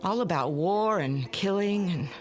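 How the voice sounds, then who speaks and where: A woman's voice: fairly low pitch, lively intonation, a little slow, faint music behind. An actress playing a strict, worried mother of a young boy in a modern radio drama, harried and anxious. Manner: scolding, anxious.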